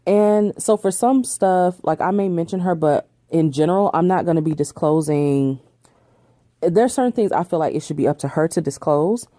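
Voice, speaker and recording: A woman's voice: fairly low pitch, normal speed, dark tone, dry recording, studio quality. A woman, hosting a podcast in a casual solo Q&A, chatty with frequent laughs and ums. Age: 30s. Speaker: podcast host.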